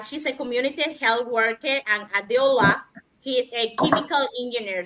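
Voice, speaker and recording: A woman from Cuba co-hosts the show, reading lists of panelists and schedules. Her voice is fairly high and bright, with an edge of tension. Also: rough recording. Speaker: co-host and panelist. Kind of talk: casual talk show chat.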